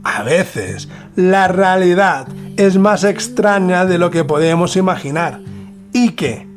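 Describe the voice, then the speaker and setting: A man from narrates a scripted mystery documentary in a solemn, dramatic manner with deliberate pauses. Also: high-pitched, lively intonation, soft background music. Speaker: podcast host narrator.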